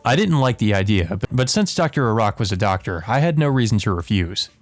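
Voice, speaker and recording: A man's voice: lowish voice, expressive, a little fast, no room sound, soft background music. A man hosting a let's play, reading visual novel text aloud with casual commentary.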